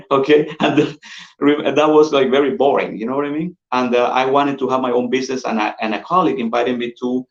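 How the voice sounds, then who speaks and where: A man with a Colombian Spanish accent, a sales manager giving an energetic, persuasive pitch and calling his listeners "guys" over and over. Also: fairly quick. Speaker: insurance sales manager presenting. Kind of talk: upbeat sales pitch on a call. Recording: dull roomy lo-fi audio.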